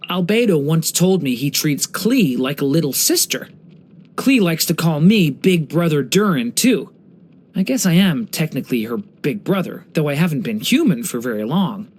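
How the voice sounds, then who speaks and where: Game role: young dragon boy in human form. Earnest, polite, gentle storyteller, animated intonation. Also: on the high side, normal speed. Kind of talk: English video game character voice lines.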